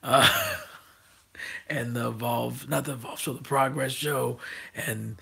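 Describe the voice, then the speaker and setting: A man who has lost his voice, talking through casual wrestling predictions with a hoarse, raspy voice and many ums, restarts and throat clearing. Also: mid-range voice, steady tone, takes it slowly, fairly bright tone. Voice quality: raspy, gravelly. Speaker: wrestling vlogger.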